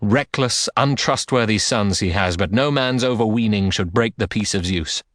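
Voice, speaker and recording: A man playing a wronged warrior king who speaks gravely and solemnly across a battlefield. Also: fairly low pitch, normal speed, fairly bright tone, no room sound, clean studio sound, natural voice. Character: aggrieved veteran king calling for oaths.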